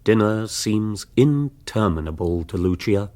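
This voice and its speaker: An actor as the urbane storyteller of a radio social comedy, narrating with wry relish. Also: male voice, lowish voice, expressive, unhurried, a little mellow. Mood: wry, amused. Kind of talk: scripted radio drama narration.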